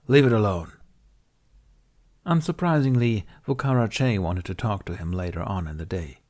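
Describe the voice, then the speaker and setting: A man's voice: lowish voice, very animated, brisk, a little mellow. A man reading a scripted story as an audiobook narrator, in a dramatic narrative style.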